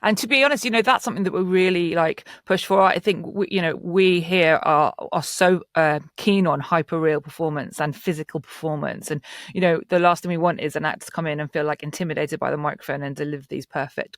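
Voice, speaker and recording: A man's voice: on the high side, gravelly, a bit bright, dry recording, clean studio sound. A man talking informally as a guest in a casual interview about production work. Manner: informal, conversational. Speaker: audio drama production guest.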